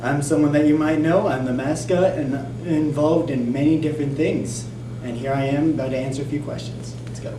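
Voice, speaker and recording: A man's voice: fairly high pitch, fairly even intonation, a little fast. A teenage boy student answering interview questions in an upbeat, slightly showy way. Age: teens. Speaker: student candidate. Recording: echoey room, distant mic, noisy.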